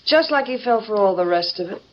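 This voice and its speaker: An actress playing a scheming, two-faced wife in an old-time radio melodrama, in short dramatic phrases. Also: female voice, medium pitch, animated, fairly quick, natural voice. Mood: cold, conspiratorial. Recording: dull, noisy vintage radio recording.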